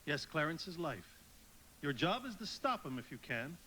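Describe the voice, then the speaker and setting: An actor playing a kindly, authoritative head angel, instructive and avuncular, in a live radio drama. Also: male voice, on the high side, big pitch swings, moderate pace, pushed and bright, slight background noise. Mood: kindly authority. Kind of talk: live radio play acting.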